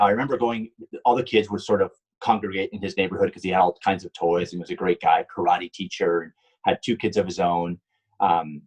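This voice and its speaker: A middle-aged man from Puerto Rico tells long stories with a very deep, husky voice. He rambles, restarts his sentences and fills pauses with ums as he answers questions. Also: a little mellow, low-quality recording. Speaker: guest, stunt driver and car designer. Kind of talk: casual interview answers.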